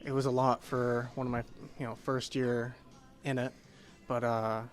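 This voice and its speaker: A young man, a guest in a casual interview, polite and soft-spoken, answering with many pauses and fillers. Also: mid-range voice, level tone, faint music behind. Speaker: guest, group sales consultant.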